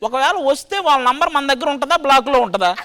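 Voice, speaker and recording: A man's voice: very high-pitched, expressive, fast, bright, forceful tone. A man doing a teasing, insistent mock interview on a TV show. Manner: insistent, repeats his questions. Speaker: comedian quizzing a contestant on a show. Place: TV studio stage. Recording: music behind the voice. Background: music.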